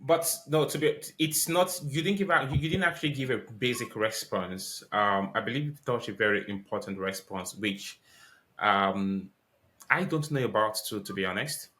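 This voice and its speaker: A man's voice: on the low side, moderate pace, bright, forceful tone. A man hosting a podcast interview, asking questions politely with many ums and restarts. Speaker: podcast host.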